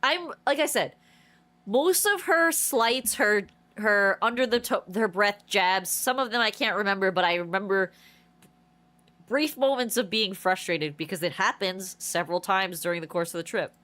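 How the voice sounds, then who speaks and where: A woman's voice: medium pitch, normal speed. A woman streamer chatting casually and rambling through a story, her voice stuffy and nasal from a blocked nose. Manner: rambling, animated storytelling with many restarts. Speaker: game streamer. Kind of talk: casual livestream chat.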